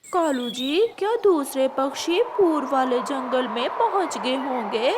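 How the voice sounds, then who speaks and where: Lady doing a whiny tired cartoon bird, really swoopy high voice, noisy background. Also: lively intonation, unhurried. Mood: complaining. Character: cold, tired crows and birds bickering in a children's story. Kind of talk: Hindi children's cartoon dubbing. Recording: loud background ambience noise.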